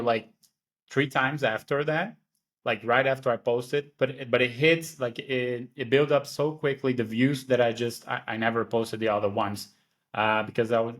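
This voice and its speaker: A man's voice: medium pitch, fairly bright tone. A man from Brazil whose first language is Portuguese, speaking with a Portuguese accent, chatting as a guest over a video call with many restarts and fillers. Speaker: guest, online seller. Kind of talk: casual interview chat.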